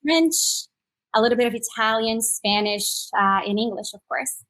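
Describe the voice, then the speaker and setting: A woman's voice: a little high, moderate pace, a bit bright. A woman in her thirties from Brazil with a Brazilian Portuguese accent, a native Portuguese speaker, explaining her startup in an upbeat interview with many "uh" fillers. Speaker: startup executive guest. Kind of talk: conversational interview on a panel.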